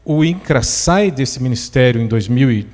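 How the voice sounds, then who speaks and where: Mellow Brazilian man interviewing in an echoing hall. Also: medium pitch, animated. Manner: halting, circling toward questions. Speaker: interviewer, moderator. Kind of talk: conversational interview on stage. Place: indoor cultural venue, stage talk. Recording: reverberant hall.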